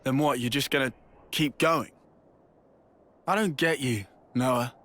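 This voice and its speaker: An actor playing a confrontational, exasperated young soldier in a video game, blunt and challenging. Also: male voice, medium pitch, unhurried, a bit bright, lightly acted. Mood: frustrated. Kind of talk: scripted game dialogue.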